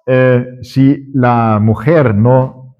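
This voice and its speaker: A man preaching a sermon at a church conference in Spanish, which is not his first language, speaking slowly and haltingly with many fillers and restarts. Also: medium pitch, fairly even intonation, a little hiss or hum. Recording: dull lo-fi recording.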